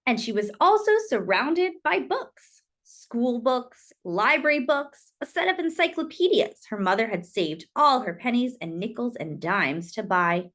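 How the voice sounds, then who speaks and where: Woman, children's storyteller, fairly high voice, very lively intonation, expressive read-aloud, dramatic emphasis. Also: unhurried, few pauses.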